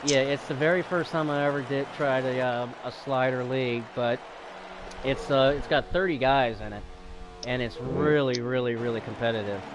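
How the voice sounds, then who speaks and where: A man's voice: normal speed, noisy background. A man hosting a sim race broadcast with playful, teasing banter. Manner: playful, teasing, pitch jumps when excited. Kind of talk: casual race commentary.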